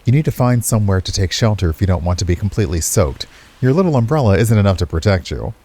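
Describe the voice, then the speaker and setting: A man with a low, mellow voice reads out a visual novel. His narration is expressive and moves freely in pitch, mixed with casual streamer commentary. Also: fast talker, slightly rough. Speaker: game streamer.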